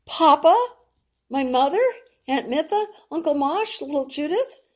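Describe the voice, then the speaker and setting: A woman's voice: sits high, animated, a little slow, voice pushed up. Woman reading as a defiant, hot-tempered young girl. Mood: alarmed. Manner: emphatic, frightened, shouting at enemies.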